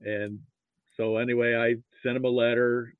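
An American man chatting casually as an interview guest, telling anecdotes in a halting way with repeated starts. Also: mid-range voice, little pitch movement, clean tone, no room sound. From Nebraska, US. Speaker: podcast guest, sports archivist. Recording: muffled, phone-like narrow-band line.

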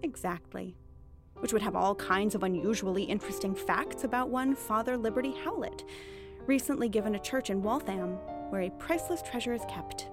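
A woman's voice: mid-range voice, a little fast, over music, close to an everyday voice. An actress playing a cunning, bookish thief posing as a witch in an audio drama, articulate, mock-courteous and teasingly self-assured. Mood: sly, explanatory. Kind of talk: audio drama acting, period dialogue.

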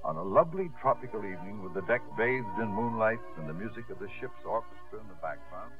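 An actor playing a reminiscing theatre manager, narrating a 1950s radio drama. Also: male voice, on the low side, lively intonation, fast talker, natural voice. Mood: storytelling. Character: storytelling former theatre manager, reminiscing warmly. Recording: muffled 1950s radio broadcast over music.

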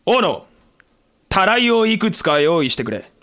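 A man's voice: sits high, very animated, normal speed, pushed and bright, exaggerated voice. An actor playing a blunt, wry samurai commander who protects his men, in a period audio drama with terse, commanding delivery. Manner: terse, commanding, gruff phrasing.

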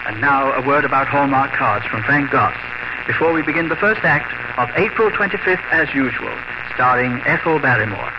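A man hosting and narrating a vintage radio drama in a measured, cultivated way. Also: medium pitch, animated, very noisy. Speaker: program host and narrator. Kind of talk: formal radio introduction and narration. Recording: dull vintage radio broadcast with music.

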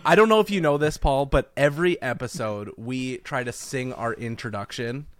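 A man's voice: medium pitch, normal speed, no room sound. A man co-hosting a podcast in casual chat, teasing and joking with his guests. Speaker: podcast co-host.

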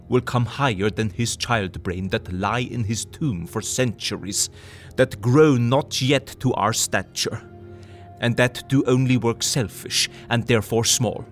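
A man voices a grave, commanding old professor in a radio-style drama. He speaks in formal, broken English, urgent and earnest. His voice sits at a middle pitch over clear background music. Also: lightly acted. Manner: urgent, earnest, drops to hushed tones. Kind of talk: audio drama acting.

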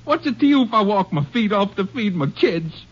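A man's voice: high voice, expressive, moderate pace. An actor playing a desperate, impoverished father who stammers and pleads his innocence in an old-time radio drama. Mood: bitter, defiant. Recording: muffled vintage radio recording.

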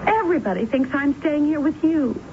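An actress playing a flirtatious, self-pitying sister who coaxes and pleads, in a 1970s radio drama. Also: female voice, high-pitched, lively intonation, brisk. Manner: coaxing, pleading, breezy. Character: vain flirtatious married woman, self-pitying and wheedling. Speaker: the narrator's sister. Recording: muffled old radio broadcast with faint hiss.

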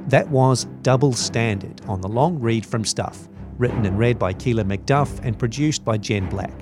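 A man's voice: medium pitch, moderate pace. A man reading scripted credits as a podcast announcer. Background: music bed.

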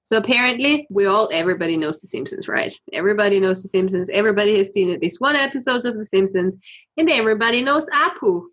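A woman from Austria with as her chats her way through news stories as a podcast co-host. She talks loosely, thinks out loud and laughs often, at a middle pitch. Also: expressive, fast talker. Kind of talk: casual news chat.

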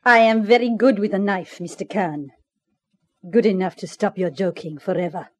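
A woman's voice: medium pitch, normal speed, close to an everyday voice. Lady playing an icy cruel gangster woman, medium voice, formal and threatening, 50s radio crime drama. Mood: icy threat. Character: cold, cruel female gangster skilled with knives.

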